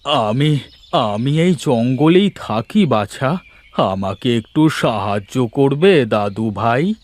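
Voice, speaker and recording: An actor playing a frail, weary old forest man in a cartoon, with a plaintive, halting delivery. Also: mid-range voice, expressive, a little mellow, no room sound. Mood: pleading.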